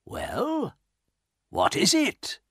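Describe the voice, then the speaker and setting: A man's voice: very high voice, huge pitch swings, drawn-out words, fairly bright tone, no room sound, studio quality, voice pushed up, exaggerated voice. A man voicing a curious, hospitable old father in a storybook, eager and insistent.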